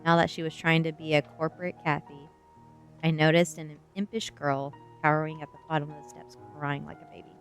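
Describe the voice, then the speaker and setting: A woman with a slightly low voice reads aloud as a livestreamer in a loose ASMR style. Her manner is soft-spoken and informal, over faint background music. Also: fairly even intonation, a little fast. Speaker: streamer. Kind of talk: reading a novel aloud on a livestream, with chat asides; ASMR reading.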